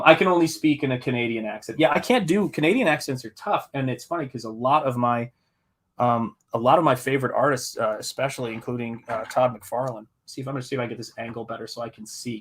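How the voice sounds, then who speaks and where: Middle aged american guy painting on stream, just rambling and joking around, medium pitch. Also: husky. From United States. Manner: rambling, jokey, self-deprecating. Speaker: artist hosting a live painting stream. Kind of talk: casual livestream chat while painting.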